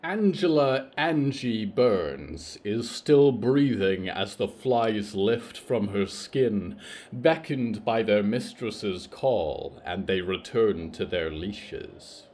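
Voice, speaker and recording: A man narrating scripted horror fiction in a measured, solemn, dramatic way. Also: lowish voice, animated. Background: soft plucked guitar music.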